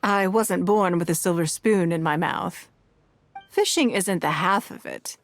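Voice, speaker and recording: An actress voicing a poised, wealthy businesswoman and leader in a video game, composed and measured, with an elegant, self-assured manner. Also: lowish voice, dry recording. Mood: fond, self-assured.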